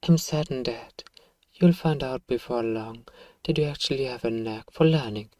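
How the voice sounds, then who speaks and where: A man playing a self-righteous evil emperor, softly and coaxingly telling a bedtime story to a child in an ASMR roleplay. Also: medium pitch, moderate pace, no echo, clean studio sound, lightly acted. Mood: doting, scheming.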